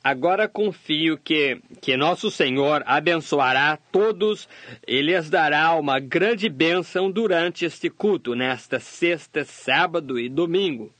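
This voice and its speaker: A man voicing a sermon translation, delivering it steadily and measured. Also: a little high, fairly bright tone, no echo. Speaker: sermon interpreter voice-over. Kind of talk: translated sermon read aloud.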